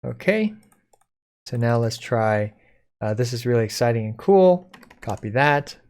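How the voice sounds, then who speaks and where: Man casually walking through a software tutorial. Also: mid-range voice, expressive, measured pace. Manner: informal, explaining step by step. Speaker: tutorial presenter. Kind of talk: casual code-along software tutorial narration. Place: indoors at a computer, screen recording.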